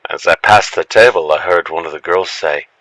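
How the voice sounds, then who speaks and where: A man narrating a scripted first-person story in a dramatic, expressive storytelling manner. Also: deep voice, moderate pace, no echo, rough recording. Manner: expressive storytelling, acts out the lines.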